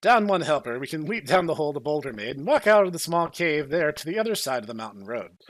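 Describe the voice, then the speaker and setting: A man narrates a game story playfully as a podcast host. His pitch is a little high and very lively, with a bright tone and a dry, close sound. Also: fast talker, dry recording.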